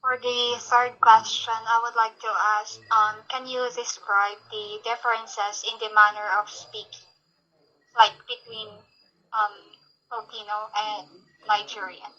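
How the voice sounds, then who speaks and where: A woman student interviewer asking a question hesitantly, with filler pauses, on a dull lo-fi recording. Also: fairly high pitch, level tone, bright, forceful tone. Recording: dull lo-fi audio.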